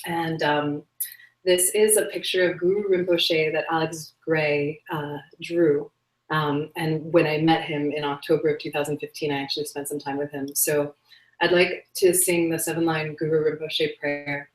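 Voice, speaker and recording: Woman host, low voice, soft and dreamy, loose with fillers, roomy echo. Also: level tone, moderate pace. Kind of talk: live spiritual broadcast, casual chat. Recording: echoey room.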